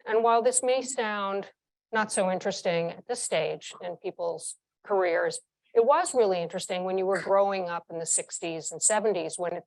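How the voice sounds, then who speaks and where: A woman in her sixties from New York speaks with a slightly low voice. She tells frank, vivid career anecdotes as an interview guest and drives her points home with emphatic repetition. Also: poor audio. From New York, United States. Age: 60s. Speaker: former chief executive, interview guest. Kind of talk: candid interview storytelling.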